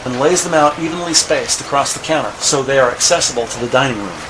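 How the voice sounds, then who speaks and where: A man reading scripted audio drama narration in a measured, descriptive storytelling manner. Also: medium pitch, lively intonation, noisy background. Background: music.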